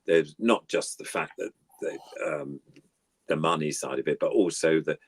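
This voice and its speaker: A man's voice: very deep voice, normal speed, breathy edge, dry recording. An older man chatting casually as a guest, reminiscing in an effusive, rambling way. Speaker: guest puppeteer.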